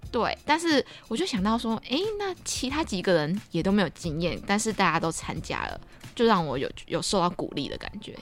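Very animated young Taiwanese woman, chatting. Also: medium pitch, brisk. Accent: Taiwanese Mandarin. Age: young adult. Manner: expressive, exclamatory. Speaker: podcast speaker. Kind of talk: casual chat.

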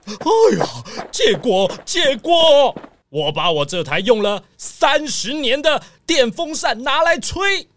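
An actor in a children's audio drama playing a stubborn, excitable old townsperson who scolds in emphatic exclamations. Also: high-pitched, slow pace, a little hiss or hum, exaggerated voice. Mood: bustling, insistent.

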